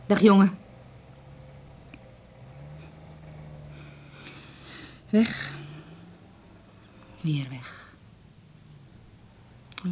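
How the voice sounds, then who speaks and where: An actress playing an anxious, loving older mother in a radio drama, speaking in breathy, theatrical phrases. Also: deep voice, slight background noise. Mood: anguished.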